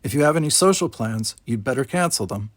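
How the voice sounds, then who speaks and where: A man voicing a brisk, commanding female academy instructor who gives firm, clipped orders. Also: medium pitch, lively intonation, no room sound, clean studio sound, close to an everyday voice. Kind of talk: novel read-aloud character voice.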